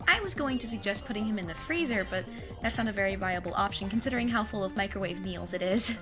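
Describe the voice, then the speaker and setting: A woman playing a plucky, earnest fifteen-year-old witch's apprentice in an audio drama, with a husky voice and formal, precise wording. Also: mid-range voice, fast, over music, close to an everyday voice. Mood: matter-of-fact. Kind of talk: scripted audio drama acting.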